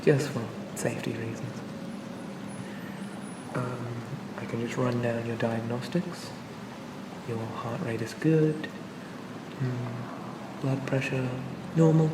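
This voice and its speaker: A man playing a charming, pleasant AI assistant for a police officer in a soothing, gently reassuring ASMR roleplay. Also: mid-range voice, steady tone, slow pace, long pauses, echoey room, recorded from a distance, very noisy, lightly acted. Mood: calm, reassuring. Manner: gentle, hesitant, reassuring, conversational.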